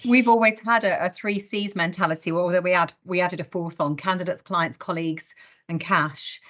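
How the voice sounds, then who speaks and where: Low-voiced woman chatting wryly about business. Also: steady tone, normal speed, a bit bright. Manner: chatty, wry humour. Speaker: host of a recruitment industry discussion. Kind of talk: casual business conversation.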